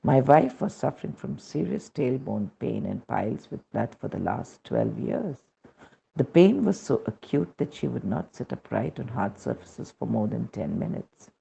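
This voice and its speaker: A man with an Indian accent reads a scripted devotional testimony in a measured, solemn manner, on a dull recording. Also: medium pitch, expressive, vocal fry, warm tone, breathy edge.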